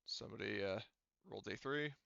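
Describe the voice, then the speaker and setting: Deep, crackly-voiced man running a game. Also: creaky, bright, forceful tone, dull audio. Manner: halting, thinking aloud while checking rules. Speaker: game master. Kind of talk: casual tabletop roleplaying game refereeing.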